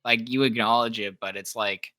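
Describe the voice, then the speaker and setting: A man's voice: medium pitch, steady tone, normal speed, sharp tone. A young man co-hosting a casual ranking chat, giving opinions in hesitant, rambling fragments. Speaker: co-host of a character ranking discussion.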